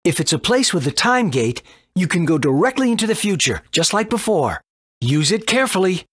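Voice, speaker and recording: A man's voice: high-pitched, sing-song, fairly quick, a bit bright, breathy edge, dry recording, studio quality, full character voice. A man playing a helpful mentor in a video game, speaking in an instructive way.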